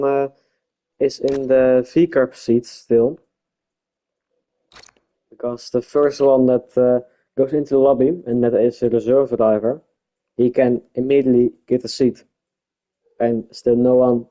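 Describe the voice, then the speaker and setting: A Dutch-speaking man commentating a live-streamed online race league in English, halting and searching for words with many fillers. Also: medium pitch, fairly even intonation, mellow, lo-fi sound. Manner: calls himself a bit boring-voiced.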